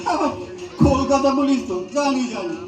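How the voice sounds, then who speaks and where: A man plays a comic god, a grumbling, easygoing husband with a high voice. It is a stage play heard from afar in an echoey hall, with music behind. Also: moderate pace, far from the mic. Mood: indignant, dismissive. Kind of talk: community comedy stage play.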